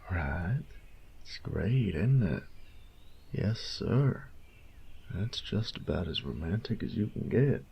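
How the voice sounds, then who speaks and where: Man playing a low, creaky boyfriend. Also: sing-song, slow, pauses often, warm tone, heavily acted. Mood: playful, excited. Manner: drawling, teasing, tender, coaxing, with breathy near-whispers. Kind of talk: intimate scripted audio roleplay addressed to the listener.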